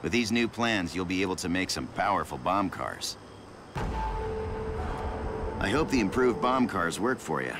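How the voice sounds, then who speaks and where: A man's voice: deep voice, expressive, normal speed, long pauses, over music. An actor playing a friendly, confident male mechanic in a wasteland video game, with a familiar, assured manner. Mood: pleased. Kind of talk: video game character acting.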